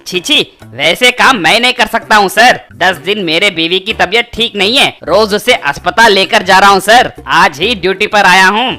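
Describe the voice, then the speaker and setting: A woman's voice: mid-range voice, moderate pace, hardly any pauses, sharp tone, soft background music, close to an everyday voice. A woman voicing a sly, greedy male tanker driver in a comedy story cartoon, deferential and full of excuses. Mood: pleading excuses. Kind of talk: Hindi comedy moral-story cartoon dialogue.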